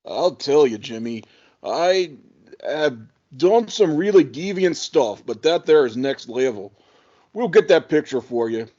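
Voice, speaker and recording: A man's voice: on the high side, animated, unhurried, a little mellow. A man playing a wry, appalled onlooker who teases his buddy in an audio play. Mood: wry disgust. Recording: dull, lo-fi sound.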